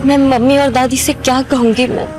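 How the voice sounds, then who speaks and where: A woman's voice: high voice, little pitch movement, a little fast, music in the background, lightly acted. An actress playing a sweet, anxious young woman with a scheme, hesitant and earnest, in an audio drama. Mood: worried, hesitant. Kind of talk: Hindi audio drama acting.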